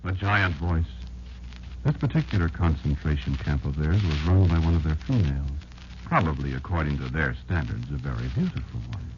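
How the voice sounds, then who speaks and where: A man playing a wary old insect elder in an old-time radio drama, telling a child grim survival tales in a confiding, folksy way with deliberate pauses. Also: very deep voice, expressive, darkish tone, a little hiss or hum. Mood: haunted, dread. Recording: dull lo-fi old radio recording. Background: prominent music.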